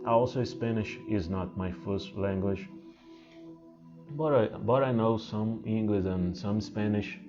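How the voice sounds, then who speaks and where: A man with a Portuguese accent talks slowly and hesitantly in a lowish voice, stopping often to restart his sentences. He speaks as a spiritual teacher. The sound is muffled, and singing bowl music plays clearly behind him. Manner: hesitant, halting, many fillers and restarts. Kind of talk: unscripted spiritual talk to camera.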